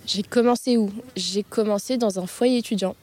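A young woman in her mid-twenties from Lebanon talks over a handheld mic while sitting on the grass in a park. Her voice is mid-pitched, and she hesitates often, filling gaps and restarting her sentences. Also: steady tone, normal speed, warm tone. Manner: hesitant, many fillers and restarts. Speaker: interview guest. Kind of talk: casual interview chat.

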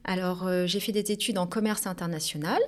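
A woman, telling her career story as a guest in a lively way, with frequent hesitations. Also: fairly low pitch, fairly quick. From Paris region, France. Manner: lively, hesitant with many fillers. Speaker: company director, guest. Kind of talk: casual interview storytelling.

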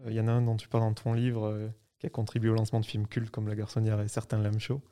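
A man's voice: fairly low pitch, monotone, fast, warm tone, clear, resonant tone. A man interviewing a guest, asking questions informally and on familiar terms. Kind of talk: conversational interview.

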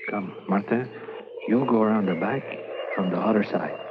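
An actor playing a calm leading a raid, in 1950s radio drama, speaking in quiet, measured commands. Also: male voice, fairly low pitch, noisy background, natural voice. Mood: commanding. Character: adult male French-Canadian Mountie corporal, calm leader. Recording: muffled old radio broadcast.

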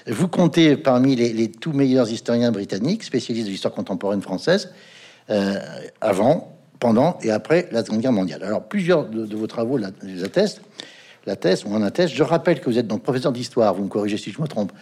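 A middle-aged male interviewer with a husky, grainy voice at an ordinary pitch. He introduces and questions his guest formally, though his phrasing stumbles over fillers and repeated words. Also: animated, fairly quick, mellow.

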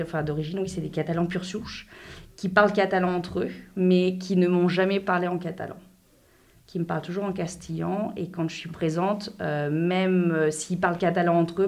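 A woman in her thirties from France, chatting casually as an interview guest, talking in quick bursts with many restarts. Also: lowish voice, darkish tone.

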